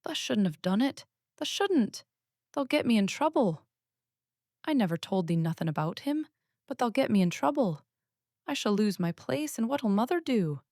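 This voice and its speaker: A woman's voice: fairly high pitch, very animated, normal speed, a little mellow, no echo, clean studio sound, voice pushed up. A woman voicing a flustered young Yorkshire housemaid who exclaims and frets in dialect, in a storybook reading. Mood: alarmed, fretful. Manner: exclaiming, dialect speech, agitated.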